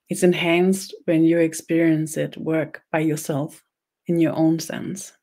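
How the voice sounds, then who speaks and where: Woman with Danish accent, low voice, slow halting delivery, webinar host. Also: mellow. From Denmark. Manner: halting, pauses often, repeats words. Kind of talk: slow meditative teaching.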